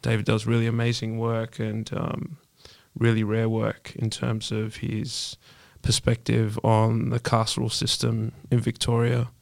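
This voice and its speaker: Host guy with a really gravelly, flat voice, dry close sound, asks stuff kinda haltingly. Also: on the low side, dry recording. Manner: easygoing, halting questions. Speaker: podcast host. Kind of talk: podcast interview.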